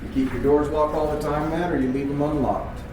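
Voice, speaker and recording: A man's voice: on the high side, fairly even intonation, brisk, background noise, lightly acted. An actor playing a domineering small-town police investigator in an audio drama, a man questioning in a blunt, folksy, probing way. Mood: suspicious. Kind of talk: fiction podcast audio drama dialogue.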